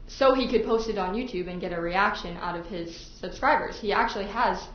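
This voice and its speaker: A woman's voice: mid-range voice, brisk. A woman making an argument in court as a prosecutor. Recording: echoey, dull courtroom recording.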